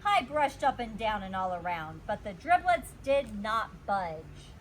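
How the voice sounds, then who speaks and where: A woman reading a children's storybook aloud like a teacher, expressive and emphasizing her words. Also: medium pitch, unhurried, slight background noise, low-quality recording. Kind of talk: animated storybook read-aloud.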